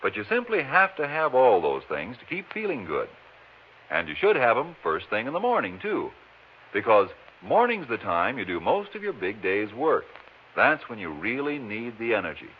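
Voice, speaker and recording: Male vintage radio pitchman: chatty, enthusiastic, hugely animated intonation, slightly husky voice. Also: moderate pace. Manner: folksy, chatty, enthusiastic selling. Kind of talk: 1950s radio advertising pitch. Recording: muffled old radio broadcast recording.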